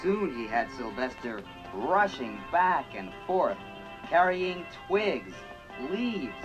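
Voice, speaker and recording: Slow, animated read-along narrator. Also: mid-range voice, poor audio. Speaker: storybook narrator. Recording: 1980s read-along record with funky music. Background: funky 80s music track.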